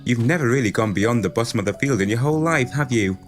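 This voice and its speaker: A naive young farm lad played by a man in a pantomime radio play. He is earnest and soft-hearted, with a mid-pitched voice that rises and falls animatedly. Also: lively intonation, quick pace, faint music behind, lightly acted. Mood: tender. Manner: earnest, guileless, affectionate. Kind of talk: audio pantomime acting.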